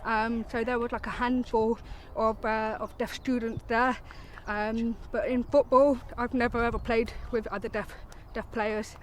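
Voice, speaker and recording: A woman's voice: a little high, level tone, a little slow, a little hiss or hum. A woman who is a football player talks reflectively and hesitantly in an interview.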